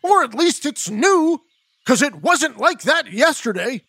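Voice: high-pitched, sing-song, a little slow, fairly bright tone, no room sound, full character voice. A performer voicing a self-important male news reporter in a children's podcast sketch, who announces everything as breaking news in a dry studio recording. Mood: newscaster. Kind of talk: kids podcast comedy sketch.

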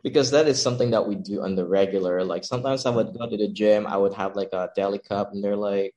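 Low-voiced young man, relaxed chat. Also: fast talker, lo-fi sound. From Philippines. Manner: relaxed, laughs often, many fillers like "um" and "like". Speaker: chef and pop-up owner, guest. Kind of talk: casual interview chat.

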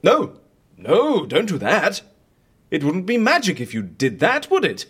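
An actor playing an eccentric, excitable and easily flustered boss in an audio comedy, with a theatrical, bumbling manner. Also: male voice, sits high, a little slow, husky, full character voice. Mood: eager, showy. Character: excitable, eccentric boss eager to show off magic tricks. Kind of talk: audio fiction comedy acting.

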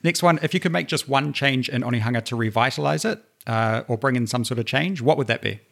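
A young man with a New Zealand accent hosting a local podcast, asking questions in an upbeat, brisk way. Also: mid-range voice, gravelly, fairly bright tone. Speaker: podcast host. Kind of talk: podcast interviewing.

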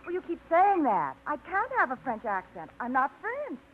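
An actress playing an eager small-town woman in a 1950s radio drama, bright and a little flustered. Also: sits high, huge pitch swings, normal speed. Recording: tinny, hissy 1950s radio broadcast.

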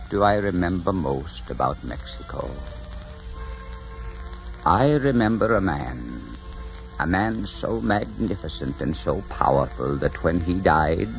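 An actor playing a proud, embittered old Spanish conquistador in theatrical 1940s radio style. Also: male voice, a bass voice, vocal fry, exaggerated voice. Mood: weary, wistful. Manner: impassioned, theatrical. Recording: muffled 1940s radio recording, music behind.

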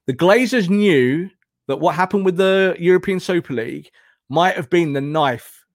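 A man with a fairly high voice speaks as a football pundit in a dry-sounding recording. He argues emphatically, leaning on repetition and rhetorical questions as he gives his opinions. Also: normal speed, no echo. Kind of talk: impassioned opinionated discussion.

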